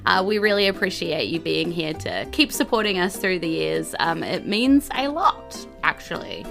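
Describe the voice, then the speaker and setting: A woman with a New Zealand accent, chatting as host and game master of a live tabletop show in a bubbly, expressive way. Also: fairly low pitch, moderate pace, vocal fry, faint music behind.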